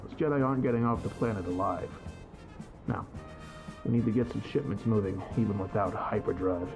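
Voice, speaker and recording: A man's voice: fairly low pitch, fairly quick, over music, natural voice. A man playing a scheming, self-assured corporate director in a fan comic dub, dismissive and businesslike. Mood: dismissive, confident.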